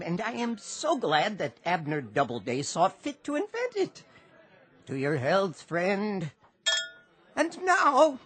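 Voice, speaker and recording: A genial old drunk at a bar in a radio drama. He declaims floridly and slowly, his pitch swinging wildly from word to word. Music plays behind him. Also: fairly bright tone, exaggerated voice. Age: older. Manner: theatrical, florid, slow declaiming. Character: genial old barfly, grandiloquent, rambling drunk.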